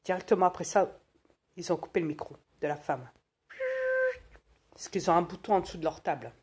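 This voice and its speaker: A woman tells a story in a casual, animated and colloquial way, with many fillers. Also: fairly low pitch, brisk, breathy edge.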